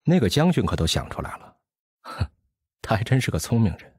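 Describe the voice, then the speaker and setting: A man's voice: lowish voice, very animated, fairly quick, slightly rough, no room sound, clean studio sound, exaggerated voice. An actor playing a bitter, brilliant, hard-drinking physicist in an audio drama, sardonic and theatrical as he lectures. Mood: wry.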